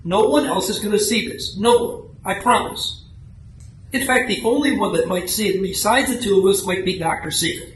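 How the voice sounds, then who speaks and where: A man's voice: sits high, moderate pace, lightly acted. A man playing an earnest, defensive, health-obsessed husband in a comic radio play, stammering and repeating himself as he explains. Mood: defensive, pleading. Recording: roomy, echoey live recording, clear background noise.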